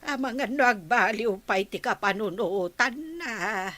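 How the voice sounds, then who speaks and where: A woman's voice: mid-range voice, measured pace, a bit bright, no echo. A woman with an Ilocano Filipino accent playing a caring older relative who gives patient advice in a radio drama. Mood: coaxing.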